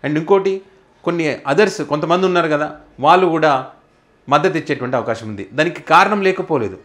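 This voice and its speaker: A man's voice: fairly high pitch, fairly quick, fairly bright tone. A man with a Telugu accent presenting political news commentary in an explanatory way, posing rhetorical questions as he goes.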